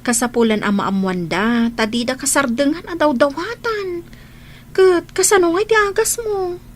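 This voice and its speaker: An actress with an Ilocano Filipino accent playing a caring, admonishing friend and fellow worker abroad in a radio drama. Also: female voice, high-pitched, moderate pace, slight background noise, natural voice. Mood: concerned, insistent. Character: concerned, cautioning female friend working abroad.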